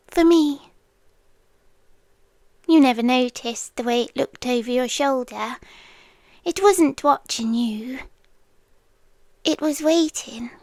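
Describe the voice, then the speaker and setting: Ancient protective female creature played by a woman, British, high-pitched and hushed, eerie yet tender, very slow intimate ASMR delivery. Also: no echo, exaggerated voice. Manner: hushed, often whispering, with lingering pauses.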